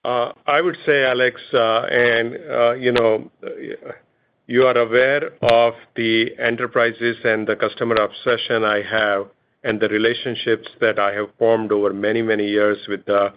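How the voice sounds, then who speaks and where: A man speaking as a chief executive on an earnings call, answering in a measured, halting way. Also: lowish voice. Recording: dull lo-fi conference call.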